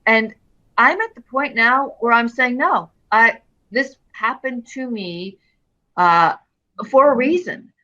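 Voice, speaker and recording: A middle-aged woman talking as an interview guest in an earnest, expressive way, often restarting her phrases. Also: fairly high pitch, takes it slowly, muffled recording. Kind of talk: casual interview conversation.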